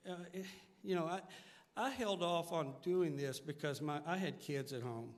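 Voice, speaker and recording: A middle-aged or older man, a singer-songwriter, chatting casually as an interview guest. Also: medium pitch. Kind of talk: casual live interview chat. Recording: live hall reverb, faint music.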